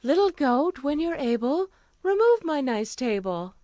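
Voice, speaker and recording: A woman storyteller plays a clever, polite little girl from a fairy tale. The voice is raised and lilting, with coaxing, sing-song phrasing and lively swings in pitch. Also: measured pace, no echo.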